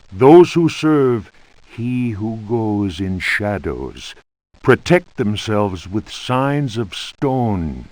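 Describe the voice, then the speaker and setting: A stern churchman reads from an old book for a video game. He is solemn and ominous, very slow, with dramatic rises and falls in tone. The sound is dry. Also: mid-range voice, dry recording. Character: stern male churchman warning of devilish cults.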